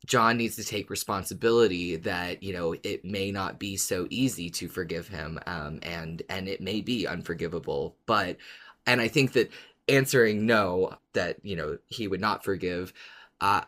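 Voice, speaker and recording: A man co-hosting a podcast, talking casually in a hesitant, measured way as he thinks aloud. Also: deep voice, a bit bright. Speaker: podcast co-host. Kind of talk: casual discussion of a fiction podcast.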